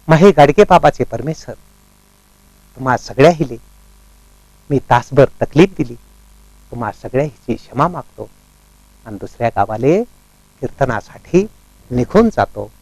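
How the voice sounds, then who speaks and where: An actor playing a humble, earnest folk preacher-saint in a stage play, addressing villagers with devotional exclamations. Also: male voice, medium pitch, animated, unhurried, long pauses, a little mellow, no echo, poor audio. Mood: humble, pleading. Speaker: actor in a one-act play.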